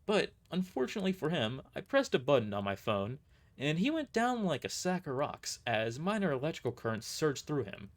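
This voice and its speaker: Animated male story narrator. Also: on the high side, moderate pace. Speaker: narrator and performer. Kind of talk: expressive first-person story narration.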